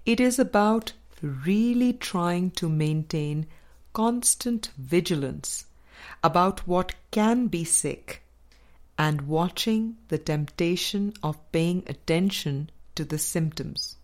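A woman reading a spiritual book aloud as a scripted narration, with measured, deliberate phrasing in short groups. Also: fairly low pitch, lively intonation, a little mellow. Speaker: audiobook reader.

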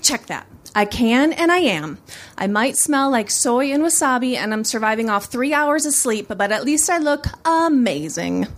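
A cocky, eager young woman who does stand-up, voiced by a woman reading a story. Her voice sits fairly high. She is sassy and exclamatory, in the style of a comic first-person novel. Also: lively intonation, normal speed, natural voice.

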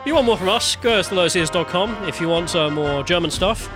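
A man's voice: high voice, expressive, fast, over music. A man co-hosting a podcast, talking casually, laughing easily and reading viewer comments aloud. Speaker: podcast co-host.

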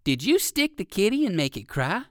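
A man voicing a polite, curious old gentleman rabbit in a children's storybook, expressive and kindly. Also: high voice, normal speed, no echo, studio quality, voice pushed up. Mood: curious, surprised.

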